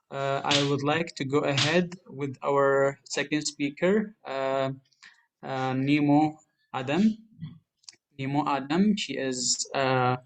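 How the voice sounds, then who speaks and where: A man moderating an online webinar, speaking hesitantly with many fillers and polite thanks, over a video call. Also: medium pitch.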